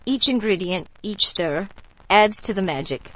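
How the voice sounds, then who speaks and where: A woman playing a warm local bayou woman in a radio drama, telling stories in an unhurried, folksy, gently mystical way. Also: medium pitch, animated, rough recording. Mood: gentle, mystical.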